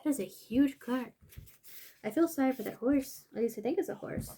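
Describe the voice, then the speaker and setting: A woman's voice: sits high, normal speed, mellow, slight background noise. A woman hosting a casual read-aloud storytime, making wry, slightly hesitant and apologetic asides. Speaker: storyteller and video host.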